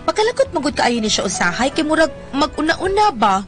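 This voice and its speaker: A dutiful daughter in a radio drama, weary and coaxing. Her voice is fairly high, with a Visayan accent, and music plays softly behind. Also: very animated, fairly quick. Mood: troubled, coaxing. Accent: Filipino, Visayan. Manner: coaxing, exasperated. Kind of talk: radio drama acting with first-person narration.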